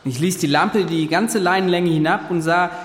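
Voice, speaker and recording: An actor playing an earnest young ship's officer giving precise, measured testimony in a radio play. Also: male voice, high voice, close to an everyday voice. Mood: earnest, factual. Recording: echoing hall with long reverb.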